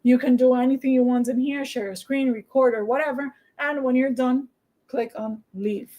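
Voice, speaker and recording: A Dominican woman whose first language is Spanish, speaking English with a Spanish accent as she leads a screen-share tutorial and sales pitch, briskly persuasive and often checking in with "okay?". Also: fairly even intonation, dark tone. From Dominican Republic. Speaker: online business coach.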